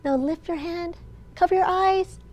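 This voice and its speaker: A woman children's librarian reading a picture book aloud to young children, sing-song, with questions and pauses for them to answer. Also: extremely high pitch, a little mellow. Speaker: children's librarian and storytime host.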